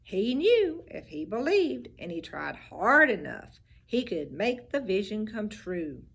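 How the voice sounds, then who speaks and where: A teacher reading a picture book aloud, with expressive storybook delivery and little asides about the pictures. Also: female voice, on the high side, takes it slowly, creaky, breathy edge.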